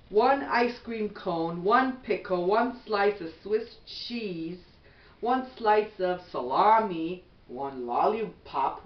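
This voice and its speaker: A middle-aged woman reads a bedtime storybook at an unhurried pace. She is some distance from the camera mic in an echoey room. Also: medium pitch, dull audio. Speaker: mother reading a bedtime story. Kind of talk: slow storybook read-aloud. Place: small bedroom at home, indoors. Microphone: camera a few feet away.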